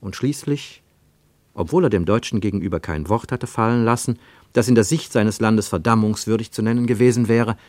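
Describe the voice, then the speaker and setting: Guy w/ reading a novel for radio, lowish voice, really deliberate, dramatic pauses, super dry sound. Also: a little mellow, no room sound. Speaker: narrator reading literary prose. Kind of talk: scripted literary narration for radio.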